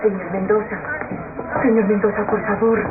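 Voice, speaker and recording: An actress playing a timid, deferential servant in an old radionovela, hesitant, agitated and apologetic. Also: female voice, medium pitch, fairly even intonation, moderate pace, over loud music, lightly acted. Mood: nervous, hesitant. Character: timid, nervous, deferential household servant woman. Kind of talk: old-fashioned radionovela acting. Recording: noisy, narrow old radio recording.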